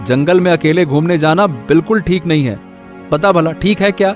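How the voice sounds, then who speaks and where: A man playing a caring, gently scolding elder brother, a landlord's son, in a moral story. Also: a little high, normal speed, faint music behind, close to an everyday voice. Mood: chiding, reassuring.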